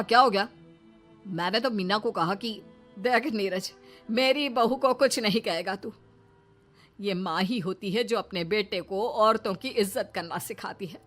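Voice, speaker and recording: A woman's voice: fairly high pitch, fairly bright tone. Woman playing a firm, protective mother-in-law. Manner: emphatic, admonishing. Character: firm, protective middle-aged mother-in-law defending her daughter-in-law. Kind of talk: Hindi moral story dramatization. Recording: music behind the voice.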